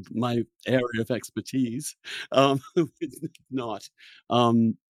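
An English man past his youth interviews in a loose, conversational way. He stumbles back over his words, restarts sentences and drifts into asides, at a middling pitch, recorded close and dry. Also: fairly even intonation, no room sound. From England. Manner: halting, repeats words and restarts often, digressive. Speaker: podcast host and interviewer.

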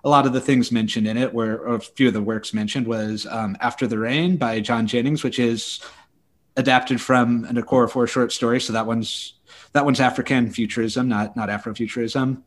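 A man co-hosting a podcast, discussing book news casually in a thoughtful, halting way with self-corrections. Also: medium pitch, fairly even intonation. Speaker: podcast co-host.

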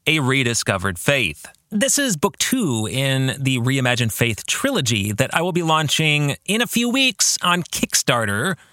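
A man's voice: mid-range voice, sing-song, measured pace, few pauses, bright, forceful tone, no echo, clean studio sound. A man hosting a podcast, narrating in an earnest, emphatic way as an author introducing his work.

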